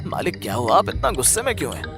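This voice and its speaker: A man's voice: on the high side, wildly swooping pitch, rapid-fire, gravelly, music in the background, heavily acted. A man voicing a jealous, cringing village thief in a cartoon story, stammering and pleading. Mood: falsely polite, uneasy. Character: sneaky, jealous village thief, nervous and cringing before authority. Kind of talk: Hindi cartoon story acting.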